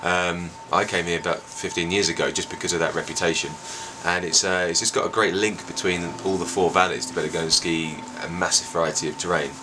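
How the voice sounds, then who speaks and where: A man's voice: low-pitched, fairly even intonation, fast talker, hardly any pauses, noisy background. A man in his forties, a ski school owner, talking casually outside a chalet in an interview, with lots of fillers and restarts. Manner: chatty, with frequent fillers and restarts. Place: outdoors by a wooden chalet in snow.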